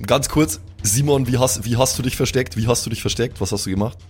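A man's voice: medium pitch, quick pace, a little mellow, soft background music. Slangy man playing a tabletop game. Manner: colloquial, slangy. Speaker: tabletop role-player. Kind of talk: casual improvised play, chatty.

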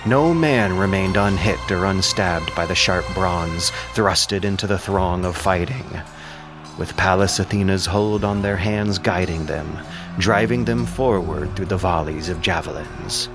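A man narrating and hosting a scripted audio production in a measured, prepared delivery. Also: low-pitched. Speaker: narrator and host. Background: music.

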